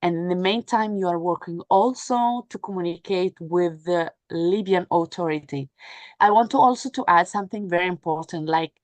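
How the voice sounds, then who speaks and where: Woman panelist, native arabic speaker, lowish voice, feels for words as she goes, dry close sound. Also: no echo. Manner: halting, searching for words, repeats phrases. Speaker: panel speaker, humanitarian sea rescue worker. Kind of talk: unscripted panel talk about work experience.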